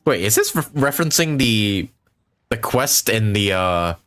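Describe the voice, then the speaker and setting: A man giving casual, expressive live reactions as a game streamer, often swearing. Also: lowish voice, a little slow. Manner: profane, giggly, expressive. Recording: game music behind.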